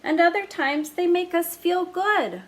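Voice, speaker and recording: A woman voicing a kind, patient father who talks in a gentle, reassuring way. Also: extremely high pitch, lively intonation, measured pace, pitched above the performer's own voice.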